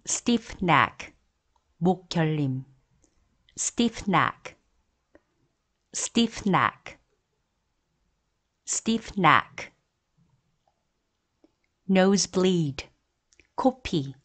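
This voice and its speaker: A woman teaching English vocabulary as a voice-over, saying each word and repeating it clearly in steady word drills. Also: fairly low pitch, drawn-out words, pauses often. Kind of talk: slow, deliberate word drills.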